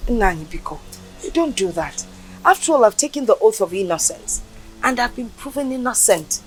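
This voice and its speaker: An actress playing a calm, forgiving wife who soothes and pleads for mercy. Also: female voice, a little high, moderate pace, soft background music, natural voice, low-quality recording. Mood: soothing.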